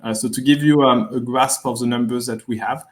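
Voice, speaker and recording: A man with a French accent speaks as a guest in an online talk, pitched in an ordinary middle range. He weighs each point and often stops on fillers as he searches for words. The sound is that of a video call. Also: steady tone. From France. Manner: measured, hesitant with many fillers. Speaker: guest insurance executive. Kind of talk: webinar interview.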